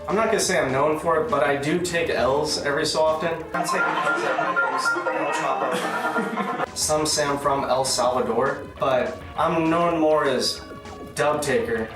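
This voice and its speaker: A man's voice: on the high side, distant mic. Cocky man in a roomy, off-mic interview. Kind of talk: casual interview talk. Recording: roomy, off-mic, music over it.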